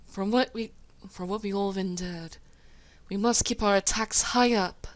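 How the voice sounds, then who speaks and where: A woman voicing a proud, solemn female warrior creature with a dramatic, measured delivery, as in a cartoon. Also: medium pitch, no room sound, natural voice.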